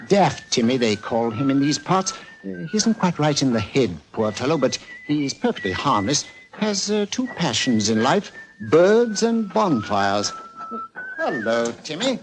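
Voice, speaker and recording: An actor playing a mild, hesitant professor, halting and matter-of-fact, in 1940s radio style. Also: male voice, fairly high pitch, big pitch swings. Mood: confiding. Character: mild, hesitant scholarly professor, local guide. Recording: old noisy 1940s radio recording, clicks.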